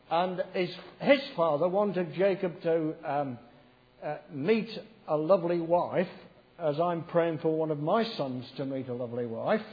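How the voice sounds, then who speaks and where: Croaky preacher in a reverberant church. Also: male voice, sits high. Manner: chatty, joking preacher leading the congregation in reading a verse aloud. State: croaky from a cold. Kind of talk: sermon. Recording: dull sound with long hall reverb.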